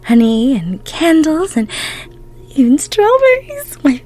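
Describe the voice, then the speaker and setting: A woman playing a tender, emotional goddess of love in an intimate roleplay audio, cooing soothingly in a breathy, near-whispered voice. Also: high-pitched, very animated, slow pace, darkish tone, soft background music, heavily acted. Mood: gracious, welcoming. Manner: soothing, cooing, coddling with pet names.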